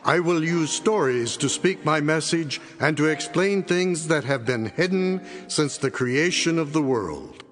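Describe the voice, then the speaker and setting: Casting: male actor as solemn prophet, fairly high voice, proclaiming scripture over soft music. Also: expressive, unhurried, hardly any pauses. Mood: solemn proclamation.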